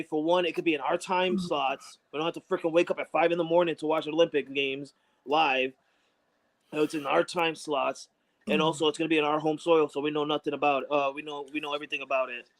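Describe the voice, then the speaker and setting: A man from New York co-hosting a sports talk show, talking in heated, profane banter. His voice sits a little high for a man. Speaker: co-host. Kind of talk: casual sports chat.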